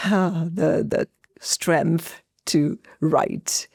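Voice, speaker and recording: A woman's voice: low voice, fairly even intonation, drawn-out words, creaky, a little mellow, no echo, clean studio sound. A middle-aged woman from India talking as an interview guest, telling personal stories conversationally and acting out bits of remembered dialogue. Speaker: podcast guest, actor.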